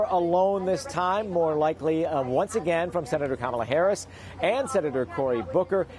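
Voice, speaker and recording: Animated male TV news reporter, higher-pitched. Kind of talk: live news report with scripted narration.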